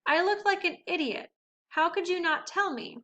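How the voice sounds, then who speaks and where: Woman playing teenage boyfriend, storybook character, high, bright, earnest, direct, emphatic, caring. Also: moderate pace, lo-fi sound. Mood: annoyed.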